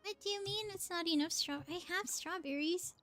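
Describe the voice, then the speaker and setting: A woman's voice: very high voice, a little fast, a bit bright. A woman live-streaming a video game, chatting casually and thinking aloud as she plays.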